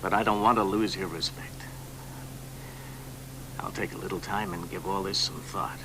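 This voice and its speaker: A man's voice: low voice, fairly even intonation, normal speed, natural voice. An actor playing a stubborn middle-aged father who talks in clipped, stern lines in a radio drama. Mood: resentful. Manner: clipped, stubborn, flares into anger. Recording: hissy broadcast recording.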